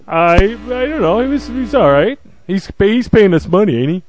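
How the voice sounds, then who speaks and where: A man's voice: high-pitched, very animated, moderate pace, a little mellow. A man co-hosting a casual show, bantering in a blunt, teasing, wisecracking way. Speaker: co-host.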